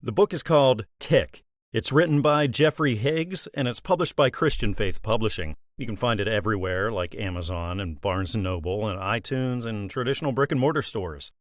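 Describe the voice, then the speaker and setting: A man's voice: on the low side, moderate pace, dry recording. Male host, polished promo read, dry close sound. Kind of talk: interview hosting.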